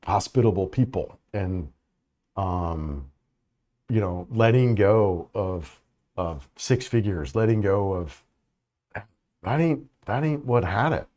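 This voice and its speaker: A man in middle age talks loosely on a live stream. He restarts sentences often, fills gaps with "you know" and "right," and keeps an unhurried, easygoing tone. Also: on the low side, expressive, warm tone. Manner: rambling, many restarts and fillers, easygoing. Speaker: vlogger hosting a live chat. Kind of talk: casual live-stream chat reading comments.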